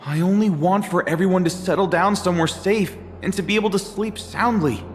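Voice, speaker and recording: Guy voicing a worried soft priest, bit high, echoey hall. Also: brisk, close to an everyday voice. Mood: pleading. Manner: pleading, gentle. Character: weary male priest, worried caretaker. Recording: echoey hall, faint ambient noise.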